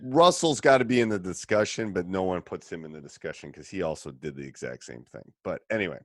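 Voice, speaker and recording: A man hosting a basketball coaching podcast, chatting casually and getting animated when he makes a point. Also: low voice, fast, no room sound, clean studio sound. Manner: animated storyteller, voice jumps up when excited.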